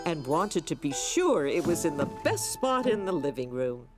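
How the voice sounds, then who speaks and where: A woman playing a kindly storybook narrator, telling a scripted preschool story with expressive delivery. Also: sits low, normal speed, loud music behind the voice. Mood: fond storytelling. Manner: expressive storytelling for young children.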